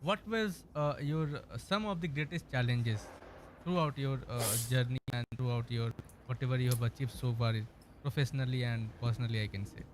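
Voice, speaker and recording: A man's voice: medium pitch, moderate pace. A man from India, interviewing a guest, hesitant and full of fillers, who keeps saying "I can say". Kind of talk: casual interview. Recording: noisy background.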